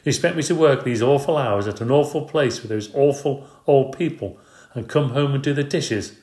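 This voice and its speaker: A man's voice: on the low side, normal speed, darkish tone, reverberant, lightly acted. A man reading aloud, voicing a tired, angry working mother who complains and speaks sarcastically.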